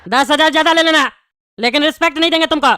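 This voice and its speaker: A woman's voice: high voice, quick pace, sharp tone, no room sound, clean studio sound. A woman voicing a brash, bossy, defiant young cartoon schemer with a blunt, demanding manner. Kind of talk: comedy cartoon voice acting.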